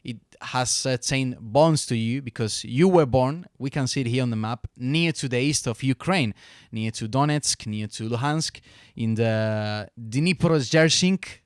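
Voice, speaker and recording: Clear-voiced male interviewer. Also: mid-range voice, unhurried, no room sound, clean studio sound. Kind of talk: conversational interview.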